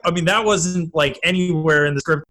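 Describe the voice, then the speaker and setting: A man's voice: fairly high pitch, moderate pace, pushed and bright. A man chatting casually as a podcast guest, peppering his talk with "like" and the odd swear. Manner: swears casually, uses "like" heavily. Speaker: podcast guest, audio drama creator. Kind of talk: casual chat.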